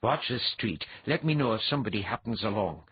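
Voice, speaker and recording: Man as a wry commanding mentor, husky voice. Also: fairly low pitch, expressive, brisk, highs cut off. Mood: firm. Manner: dry, unruffled, instructive.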